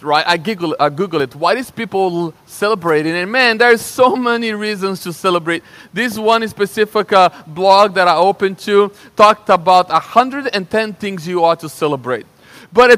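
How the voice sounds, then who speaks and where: A young man from Brazil with a Brazilian Portuguese accent, preaching a sermon in a lively, conversational way and often checking in with "right?". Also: high-pitched. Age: young adult. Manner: animated and conversational, with frequent restarts and "right?" checks. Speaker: pastor.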